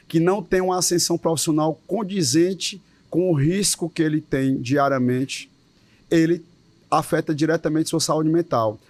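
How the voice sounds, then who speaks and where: A man with a Cearense accent, a police association leader, argues earnestly in an interview. His voice sits somewhat high, and the sound is dry and close. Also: dry recording. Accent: Cearense Portuguese. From Ceará, Brazil. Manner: earnest, emphatic, with repeated words. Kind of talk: interview answers.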